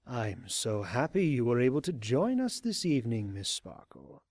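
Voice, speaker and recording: A man playing a coldly polite, sinister pony cult leader in an audio drama, speaking in a measured, formal and menacing way. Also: medium pitch, big pitch swings, a little mellow, no room sound. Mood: smugly menacing. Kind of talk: fan audio drama acting.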